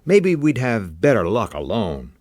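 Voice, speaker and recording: A subdued, discontented man, played by a male story reader, in a fairly low voice with a close, dry sound. He speaks with quiet unease, questioning things. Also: huge pitch swings, a little slow, dry recording, full character voice. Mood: uneasy, discontented. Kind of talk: dramatic audiobook character voice.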